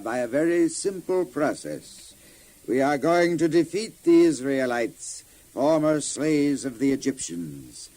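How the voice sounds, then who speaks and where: A grandiose warlord king in an audio Bible drama. He speaks slowly and imperiously, with big swings in pitch on a slightly high male voice. He sounds proud, ambitious and scheming. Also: darkish tone.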